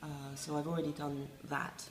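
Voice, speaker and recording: A middle-aged English woman, a resident playwright, talking reflectively in a wry, self-mocking way with many pauses and restarts. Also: low voice, level tone, roomy sound, crowd noise. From England. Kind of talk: reflective interview talk.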